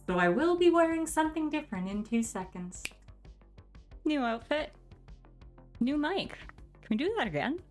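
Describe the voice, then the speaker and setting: A woman giving a dramatic reading with her own commentary in an animated, sardonic manner. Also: high voice, moderate pace, long pauses, faint music behind. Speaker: video host.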